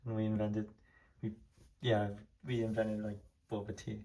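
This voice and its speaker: A man's voice: on the low side, monotone, moderate pace, warm tone, poor audio. A young man from Taiwan, a native Hokkien speaker, casually rambling as he tours his diecast collection room, heavy on fillers and restarts. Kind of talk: casual room tour vlog.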